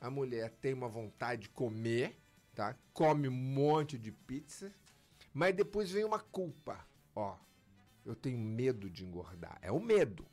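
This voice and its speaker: A man's voice: mid-range voice, unhurried, soft background music. A man, a physician guest explaining medical matters in an interview, emphatic and acting out patients' words. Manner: lively, emphatic, acts out patients' lines.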